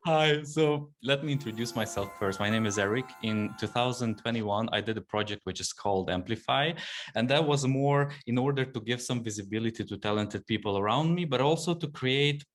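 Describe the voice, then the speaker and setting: A man hosting a podcast interview over a video call, chatty and quick to laugh. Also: mid-range voice, expressive, faint music behind. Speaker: podcast host. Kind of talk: casual interview chat.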